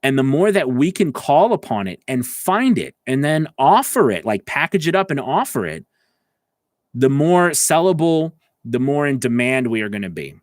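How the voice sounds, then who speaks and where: A man's voice: mid-range voice, big pitch swings, normal speed, no echo, studio quality. A man speaking as a voice acting coach on a live stream, talking informally and directly to listeners in an encouraging, conversational way. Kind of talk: informal instructional talk to livestream viewers.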